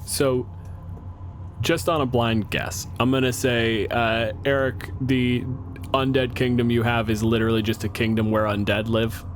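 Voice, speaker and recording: A man's voice: mid-range voice, fairly even intonation, measured pace, a little hiss or hum. A man in casual comedic podcast chat, a panelist with a wry, teasing way of talking.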